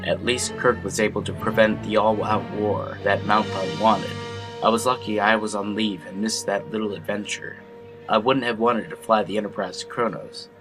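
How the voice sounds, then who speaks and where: Man acting a low-voiced, frank starship officer. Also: fairly even intonation, moderate pace, natural voice. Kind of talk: audio drama acting. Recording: music bed under the voice.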